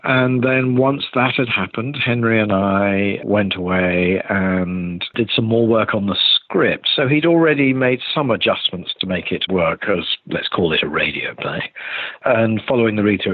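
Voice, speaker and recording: A man's voice: low-pitched, normal speed, breathy edge. An older man, a theatre director, answers interview questions conversationally in a discursive way with many qualifications, over a remote call. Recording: tinny phone-like line, roomy echo.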